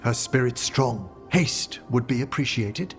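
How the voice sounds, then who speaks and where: A courteous gentleman necromancer played by a man in a fantasy game. He speaks slowly and formally, solemn and measured as if reciting a rite, with faint music underneath. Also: medium pitch, animated, close to an everyday voice. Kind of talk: video game voice acting.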